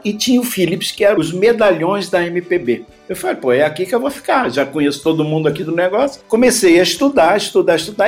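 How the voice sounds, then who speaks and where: A man, a musician telling his career story in a relaxed, chuckling way. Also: fairly high pitch. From Rio de Janeiro, Brazil. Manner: relaxed, chuckling, repeats phrases for emphasis. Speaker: guest musician. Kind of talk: casual storytelling interview.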